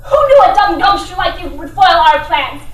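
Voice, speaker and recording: Woman playing a very high-voiced scornful female crook. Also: normal speed. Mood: furious. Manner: mocking, theatrical. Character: scornful, gloating female crook, hot-tempered sister in crime. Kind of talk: amateur old-time detective radio drama acting. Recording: echoey room, distant mic, faint noise.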